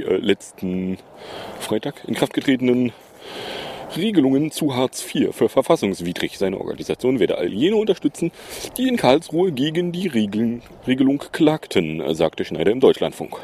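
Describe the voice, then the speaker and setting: A man's voice: on the low side, a little mellow, slight background noise. A man hosting a casual news podcast, rambling and sarcastic, with many fillers. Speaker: podcast host.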